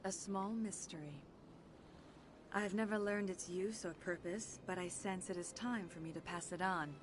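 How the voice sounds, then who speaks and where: A woman playing a gracious, wise alien consort in a video game, speaking in a formal, measured, solemn way. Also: lowish voice, noisy background, close to an everyday voice. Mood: gracious, mysterious. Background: game music.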